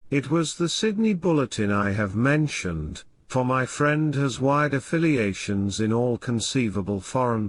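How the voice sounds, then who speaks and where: Man formally narrating a classic horror tale. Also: mid-range voice. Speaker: audiobook reader.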